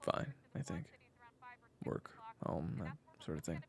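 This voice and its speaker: An actor playing a weary, remorseful assassin and young father in an audio roleplay, gravelly and halting as he talks and narrates. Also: sing-song, exaggerated voice. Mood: guarded. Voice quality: very deep, gravelly. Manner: halting, hesitant, conversational narration. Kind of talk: first-person audio roleplay drama. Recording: echoey, long reverb.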